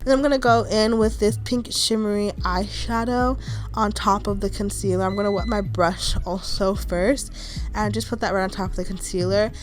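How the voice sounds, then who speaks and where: A woman's voice: medium pitch, steady tone, moderate pace, music in the background. A young woman with a stuffy nose from a cold, narrating a makeup tutorial in a chatty, reassuring, casual way. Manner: chatty, reassuring, with frequent "like" and "kinda". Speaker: beauty vlogger.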